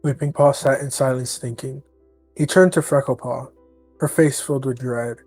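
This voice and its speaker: A man reads third-person story narration for a roleplay. His pitch is average for a male voice. The recording has little room sound, with soft music underneath. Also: steady tone, normal speed, breathy edge, no room sound. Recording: dry, music behind.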